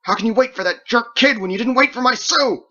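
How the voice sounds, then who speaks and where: An audiobook bully of a sailor, acted out by a man, big and hostile. He yells aggressively in a strained, raised voice with a sharp, forceful edge. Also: very high voice, brisk, muffled recording, voice pushed up, full character voice. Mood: accusing anger.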